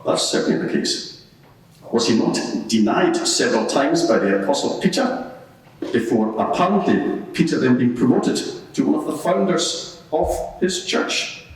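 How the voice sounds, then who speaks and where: A man's voice: mid-range voice, slight background noise, close to an everyday voice. Scornful state lawyer played by a man, hugely echoey. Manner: formal, rhetorical, dismissive. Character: scornful Roman state procurator arguing at an inquiry. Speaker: advocate in a mock court inquiry. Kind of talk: courtroom cross-examination and closing submission. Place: large echoing courtroom, live event. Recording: very echoey hall, far from the mic.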